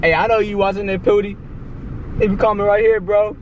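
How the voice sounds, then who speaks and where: Higher-voiced young man, noisy car recording. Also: level tone, brisk, lo-fi sound. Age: young adult. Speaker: prank vlogger. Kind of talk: casual slangy chat. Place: inside a car at a drive-thru. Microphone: handheld camera in the car. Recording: noisy handheld camera audio in car. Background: car and outdoor ambience.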